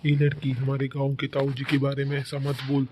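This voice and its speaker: A man's voice: mid-range voice, completely flat delivery, brisk, warm tone, a little hiss or hum, lightly acted. A man playing a gossipy village busybody in a cartoon, teasing and needling with mock friendliness. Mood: indignant. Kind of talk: cartoon character dialogue.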